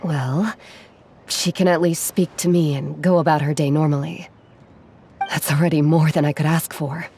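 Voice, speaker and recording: A gentle, modest artisan. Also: female voice, sits low, moderate pace, slight background noise. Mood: apologetic. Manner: calm, gentle, apologetic, modest. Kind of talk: game cutscene dialogue. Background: game music.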